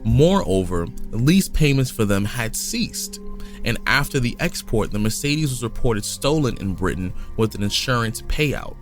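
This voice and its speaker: A man's voice: mid-range voice, very animated, measured pace, faint music behind. A man co-hosting a podcast, reading a crime story from notes in a casual way, sometimes stumbling and restarting. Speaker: podcast co-host. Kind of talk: reading a case aloud in casual chat.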